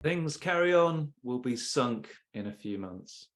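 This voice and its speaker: A man's voice: medium pitch, lively intonation, measured pace, natural voice. A man playing a practical, cash-strapped small business owner in an amateur comic story. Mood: weary, practical. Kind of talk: amateur comic audio drama.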